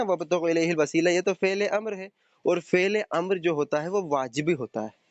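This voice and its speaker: A man's voice: high voice, dry recording. A man debating religion on a phone call, combative and taunting, insisting on his points. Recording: dull, noisy phone call recording.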